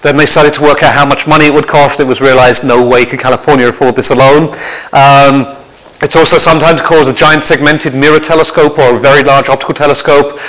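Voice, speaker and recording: A man's voice: medium pitch, steady tone, fast talker, fairly bright tone. A man giving an academic presentation to an audience in a lecture hall, speaking conversationally with frequent restarts and repeated words. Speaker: visiting lecturer.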